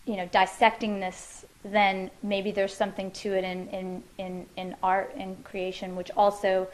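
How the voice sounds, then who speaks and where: A woman's voice: medium pitch, flat delivery, a little slow, low-quality recording. A woman musician answering interview questions in her living room, thoughtful and loose, with many fillers and restarts.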